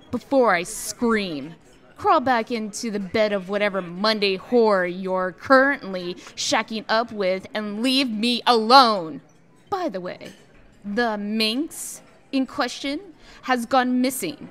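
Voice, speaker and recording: Icy, slow-spoken scornful woman played by an actress. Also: mid-range voice, a bit bright. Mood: cold, bitter. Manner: sarcastic, withering. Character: icy, venomous woman executive, scornful ex-wife. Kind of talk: comic dub voice acting.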